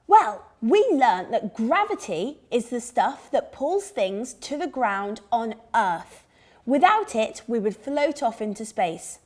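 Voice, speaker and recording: Children's story reader: female, high and unhurried, dramatised delivery with music behind. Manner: expressive, clearly dramatised delivery. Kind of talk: animated scripted storytelling for young children. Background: music under the reading.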